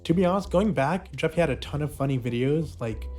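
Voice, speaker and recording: A man's voice: on the high side, animated, fairly quick, darkish tone. Man narrating casual commentary over music. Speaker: video essayist reviewing a puppet show's history. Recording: music playing behind the voice.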